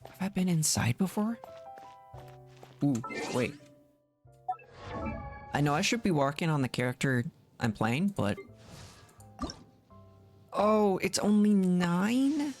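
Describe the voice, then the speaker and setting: A woman's voice: lowish voice, sing-song, slow, pauses often, darkish tone, faint music behind. A woman giving casual gameplay commentary, muttering to herself as she streams. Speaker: video game streamer.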